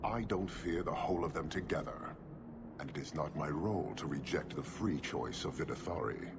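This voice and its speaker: An actor playing an imposing, stern warlord, speaking slowly and with menace in a deep, gravelly voice. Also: male voice, animated, background noise. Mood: menacing. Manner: slow, measured, menacing. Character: imposing male warlord leader, stern and cold.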